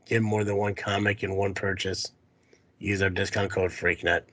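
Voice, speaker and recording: A man's voice: deep voice, little pitch movement, normal speed, fairly bright tone, no echo. Sick guy podcasting, stuffy cold, sweary and down on himself, dry close sound. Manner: foul-mouthed, self-deprecating, grumbling. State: sick with a cold, runny nose. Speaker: podcast host. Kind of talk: casual chat, ad read at start.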